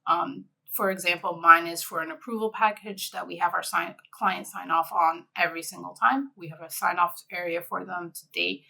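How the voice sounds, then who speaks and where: Woman, middle-aged, from Egypt, low gravelly voice, bright tone, conversational presenter. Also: fairly even intonation, normal speed, hardly any pauses, low-quality recording. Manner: conversational, with frequent fillers. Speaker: interior designer presenting a conference session. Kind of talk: conference presentation.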